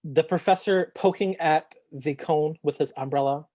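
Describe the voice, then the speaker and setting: A man chatting casually as a podcast panelist about a book, in a hesitant, low-key manner. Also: normal speed, mellow. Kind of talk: casual book-club discussion.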